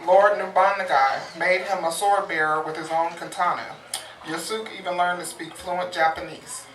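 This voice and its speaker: A woman's voice: on the low side, fairly even intonation, normal speed, very noisy. A woman announcing a stage act, reading a scripted introduction at a live event. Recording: echoey, distant live event with crowd.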